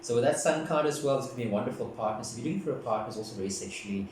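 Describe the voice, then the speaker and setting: A man from Africa, giving a casual, gentle, meandering tarot reading and often repeating himself. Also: medium pitch, racing. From South Africa. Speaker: tarot card reader. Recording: very echoey room, distant mic, background noise.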